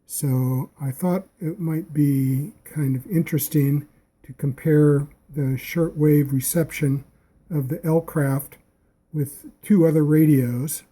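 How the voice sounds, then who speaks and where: A man giving a casual, measured demonstration as a radio hobbyist. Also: a little high, fairly even intonation, mellow. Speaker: amateur radio operator demonstrating receivers. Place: small indoor radio room.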